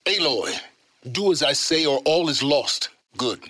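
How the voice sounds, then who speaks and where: A man's voice: a little high, big pitch swings, a little slow, sharp tone, no room sound, clean studio sound, exaggerated voice. An actor playing a secretive, learned middle-aged man in a video game, imperious and condescending, with formal, precise diction. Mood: curt, instructing. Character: secretive, learned older male wanderer, imperious and impatient. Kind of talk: video game character dialogue.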